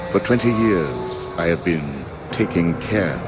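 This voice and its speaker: A man's voice: low-pitched, very animated, slow pace, full character voice. An actor playing a cruel, righteous old judge in a video game, pompous and ominous in his theatrical delivery. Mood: stern contempt. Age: older. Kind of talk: dramatic video game acting. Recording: loud orchestral music behind.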